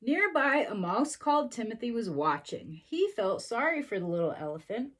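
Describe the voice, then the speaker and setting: A woman's voice: a little high, big pitch swings, moderate pace, fairly bright tone, slight background noise. A woman reading a storybook to young children expressively, adding sympathetic little asides.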